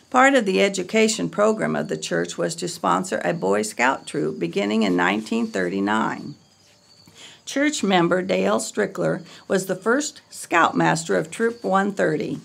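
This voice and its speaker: A woman reading a scripted history narration in a measured, read-aloud way. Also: mid-range voice, vocal fry.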